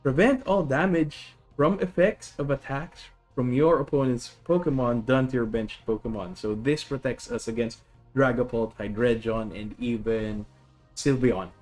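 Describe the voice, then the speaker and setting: A man's voice: medium pitch, a little slow, darkish tone, soft background music. A man with a Filipino accent chatting casually on a live stream, explaining a card deck, often correcting himself and greeting viewers. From Philippines.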